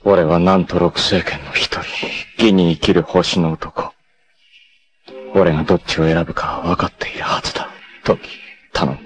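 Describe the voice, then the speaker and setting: A man voicing a proud, grim, long-haired male martial artist in a 1980s anime, speaking intensely and often bursting into shouts. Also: sits low, fairly even intonation, normal speed, long pauses, lightly acted. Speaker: voice actor.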